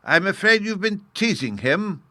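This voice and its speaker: A man reading aloud, voicing a bright, earnest little fairy girl in a storybook. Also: sits high, very animated, unhurried, no echo, voice pushed up, heavily acted. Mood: lively.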